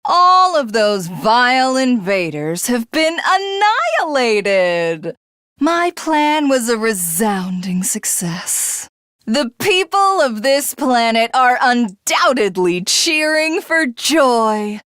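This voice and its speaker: A woman's voice: fairly high pitch, big pitch swings, slow, fairly bright tone, clean tone, dry recording, studio quality, full character voice. A woman playing a haughty, megalomaniac female villain in a video game, grandly savouring each phrase as she gloats. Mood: smug gloating.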